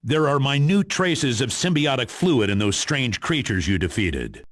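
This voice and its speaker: A man's voice: medium pitch, big pitch swings, unhurried, no echo, clean studio sound. A man playing a stern, businesslike spy commander giving video game mission briefings in an authoritative, matter-of-fact way. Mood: grave, informative.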